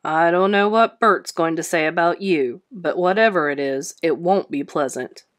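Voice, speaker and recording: A woman's voice: on the low side, normal speed, voice pushed down, close to an everyday voice. A woman voicing a stern, weary schoolteacher in a storybook, complaining in a terse, strained way.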